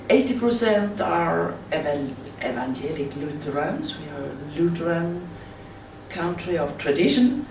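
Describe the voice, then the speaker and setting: A Swedish woman with a Swedish accent, speaking halting non-native English in a slide presentation at a conference. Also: deep voice. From Sweden. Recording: roomy, distant mic with faint hiss.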